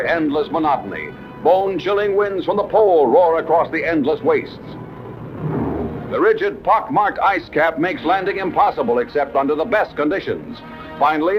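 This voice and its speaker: Man, American accent, vintage newsreel narrator, dramatic delivery, dull lo-fi soundtrack, music underneath. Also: on the high side. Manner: dramatic, declamatory announcing. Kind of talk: 1950s newsreel scripted narration. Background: newsreel background music.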